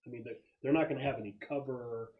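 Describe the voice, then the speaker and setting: A man's voice: mid-range voice, steady tone, fast talker, narrow, tinny audio. A man acting as game master, narrating and chatting casually at a tabletop game.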